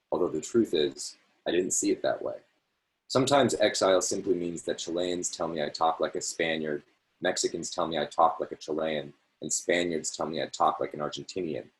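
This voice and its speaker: A man with an extremely low, deep voice reads a literary essay aloud over a video call. He takes it phrase by phrase in a measured way and now and then corrects a slipped word. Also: low-quality recording. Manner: measured, phrase-by-phrase delivery with small self-corrections. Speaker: reader hosting a reading series.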